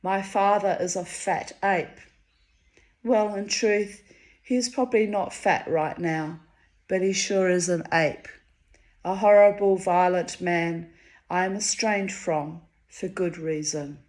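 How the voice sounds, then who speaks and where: A Hungarian-born woman reading her own diary entries aloud, wry and theatrical, her voice swinging high and low for effect. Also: fairly even intonation, takes it slowly. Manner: wry, theatrical, dramatic swings of pitch and loudness.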